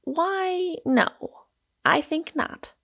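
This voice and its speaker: A woman voicing a whimsical, flamboyant idle gentleman with a haughty, mock-heroic and theatrical manner in an audiobook. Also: on the high side, animated, a little slow, creaky, pitched above the performer's own voice, exaggerated voice. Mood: lazily reflective. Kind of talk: audiobook character voice.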